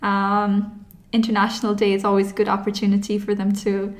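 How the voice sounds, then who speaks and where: A woman's voice: mid-range voice, monotone, fairly quick. A woman with an Irish accent talking casually as a guest in a conversational interview. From Ireland. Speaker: podcast guest, teacher. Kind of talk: casual chat. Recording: echoey, roomy sound.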